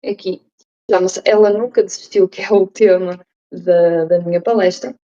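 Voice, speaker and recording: A woman with a European Portuguese accent, raised in Portugal, giving an online talk slowly and deliberately, with many fillers. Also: fairly low pitch, fairly even intonation, mellow, lo-fi sound. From Brazil, raised in Portugal. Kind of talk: live online presentation.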